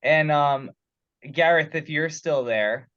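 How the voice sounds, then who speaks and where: A man's voice: fairly high pitch, slow, fairly bright tone, no room sound. A man talking through technical material in a casual discussion on a teleconference call. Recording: muffled, lo-fi conference call audio.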